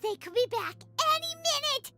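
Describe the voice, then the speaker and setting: A woman voicing a tiny, childlike floating fairy companion in a video game, excitable and timid, with a squeaky voice. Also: normal speed, exaggerated voice. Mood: urgent. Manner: refers to herself in third person, exclamatory. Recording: loud game music over the voice.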